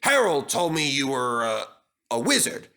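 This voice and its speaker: A man voicing a pompous but approving older railway controller, formal and measured, in a children's storybook. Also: medium pitch, sing-song, creaky, pushed and bright, exaggerated voice. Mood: stern approval.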